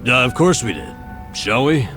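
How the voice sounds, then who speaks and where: An actor playing a worried, guilt-ridden, gruff down-and-out man in a video game dub, grumbling dryly to a friend. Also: mid-range voice, animated, normal speed, a bit bright, faint music behind, lightly acted. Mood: uneasy.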